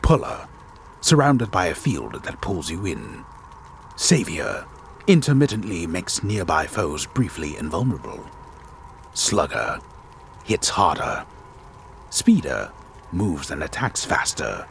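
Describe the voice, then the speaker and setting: Game storyteller: disembodied, grave, theatrical man, deep register, animated melodic phrasing, formal scripted reading. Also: creaky, slight background noise, heavily acted. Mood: solemn, deliberate. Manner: grave, measured, theatrical delivery of dry text. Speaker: game narrator. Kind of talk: dramatic reading of scripted patch notes.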